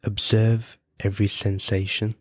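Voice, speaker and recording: A man leading a guided meditation as host, giving gentle, unhurried instructions with frequent pauses. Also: fairly low pitch, little pitch movement, no echo, studio quality. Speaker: podcast host guiding meditation.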